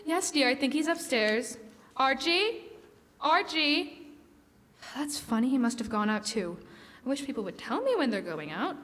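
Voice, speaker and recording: Woman playing high-pitched fussy suburban mother. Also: very animated, a little slow, fairly bright tone, full character voice. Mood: sweet, chatty. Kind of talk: live classic radio drama acting. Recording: echoey stage hall.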